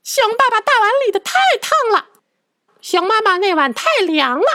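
A woman's voice: extremely high pitch, sing-song, moderate pace, sharp tone, voice pushed up, exaggerated voice. A woman voicing a nosy, huffy little girl who exclaims and complains in a children's radio play. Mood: fussy, complaining. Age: child figure.

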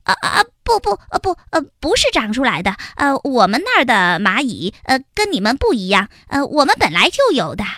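A woman voicing a nervous little boy ant who fibs and stammers in a rushed, higher voice. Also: wildly swooping pitch, pushed and bright, no echo, studio quality, full character voice. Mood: flustered. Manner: stammering and rushed when caught lying.

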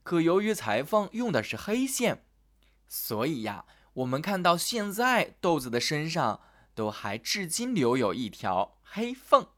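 Male children's narrator, animated sing-song fairy-tale delivery. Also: on the high side, unhurried. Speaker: children's storyteller.

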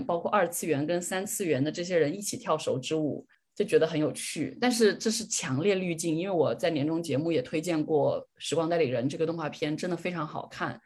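A woman with a somewhat low, breathy-husky voice hosts a podcast in an informal, chatty way. She shares opinions with the keen enthusiasm of a fan. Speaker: podcast host. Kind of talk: casual podcast chat.

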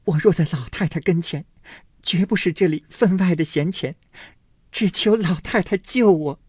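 A man's voice: expressive, measured pace, a little mellow, slightly rough, dry recording, clean studio sound, full character voice. A man doing a tearful, desperate young noblewoman. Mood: weeping, pleading. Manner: high pleading voice, sobbing appeals.

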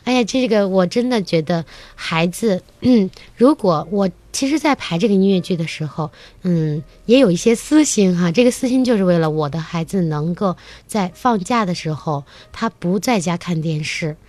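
A woman's voice: fairly low pitch, moderate pace, few pauses, no echo. A woman, a children's musical theatre teacher, chatting enthusiastically as a talk-show guest and acting out bits of conversation as she tells stories. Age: adult, mother of a young child. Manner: enthusiastic, animated storytelling with acted-out quotes.

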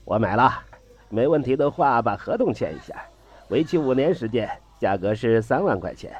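Husky, wheedling trader. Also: male voice, medium pitch, lively intonation, moderate pace, lo-fi sound. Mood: courteous persuasion. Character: chatty, wheedling male trader, courteous and salesmanlike. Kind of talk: Chinese audio drama dialogue.

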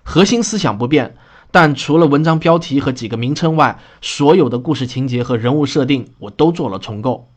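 A man speaking as a podcast host, explaining in an earnest, deliberate monologue. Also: medium pitch, animated. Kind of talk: spoken introduction, explanatory monologue.

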